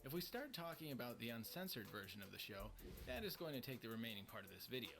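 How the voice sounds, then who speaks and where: A man's voice: medium pitch, very animated, fast. A man reading scripted countdown narration as the narrator of a list video. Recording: music bed under the voice. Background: background music.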